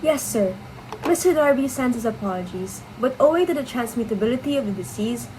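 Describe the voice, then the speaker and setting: A woman's voice: a little high, lively intonation, a little fast, a little mellow, slight background noise, close to an everyday voice, rough recording. A woman playing a deferential, superstitious household servant in a play reading, speaking in a formal, polite, exclamatory way. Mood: formal, dutiful.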